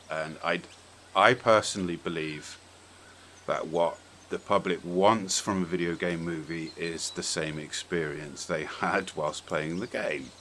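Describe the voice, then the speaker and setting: A very deep-voiced man hosts a casual discussion podcast. He argues his points emphatically and swears freely. A faint noise sits behind his voice. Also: a bit bright. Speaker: podcast host.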